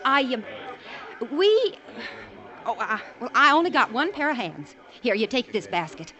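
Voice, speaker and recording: A woman's voice: a little high, very animated, normal speed, pushed and bright, dull audio. An actress playing a plucky, plain-spoken frontier woman in a radio western, folksy and a little flustered. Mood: flustered, friendly. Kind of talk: old-time radio western acting.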